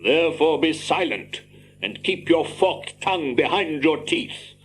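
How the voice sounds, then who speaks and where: An actor playing a stern, dignified old wizard, speaking in a grave, formal, declamatory way in an audio drama. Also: male voice, on the high side, animated, measured pace. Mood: stern command. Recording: echoing hall with faint hiss and hum.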